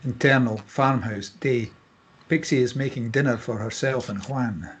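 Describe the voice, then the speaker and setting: A man narrating scene headings and stage directions at a screenplay table read in a husky voice. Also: mid-range voice, moderate pace, slight background noise.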